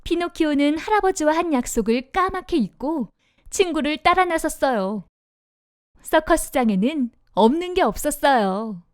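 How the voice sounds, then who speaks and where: A woman narrating a children's storybook in an expressive, sing-song manner. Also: sits high, normal speed, dry recording, studio quality.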